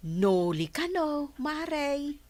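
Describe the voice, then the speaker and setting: A woman's voice: sits high, big pitch swings, drawn-out words, darkish tone, dry recording, full character voice. An actress playing a lovestruck married woman in a radio comedy drama. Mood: smitten.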